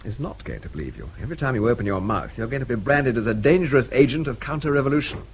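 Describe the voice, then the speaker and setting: A brash, witty English agent in a radio drama. He talks fast and breezily in an English accent, his pitch bouncing around a middling register. The recording carries a faint hiss. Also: sing-song. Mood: shrewd.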